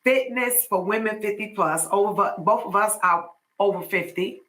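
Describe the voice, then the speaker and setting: A woman's voice: mid-range voice, moderate pace, fairly bright tone. A woman in her fifties hosting a podcast, reading a guest introduction and then chatting playfully and effusively. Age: 50s. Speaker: podcast host.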